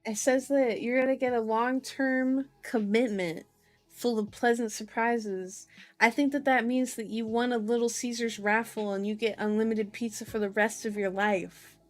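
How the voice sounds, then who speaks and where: A woman's voice: a little high, fairly even intonation, normal speed, dry recording. A woman chatting casually and playfully in an ASMR style, giggly, with silly repeated words and sounds, reading fortunes for viewers. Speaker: streamer reading horoscopes and tarot for chat viewers. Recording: dry close recording over faint music.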